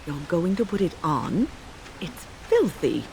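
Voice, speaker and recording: An older woman mage in a fantasy video game. She is prim and fastidious, scolding with swooping, expressive pitch. There is a light hiss under the dialogue. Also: low-quality recording. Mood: scolding disbelief. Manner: scolding, fussy.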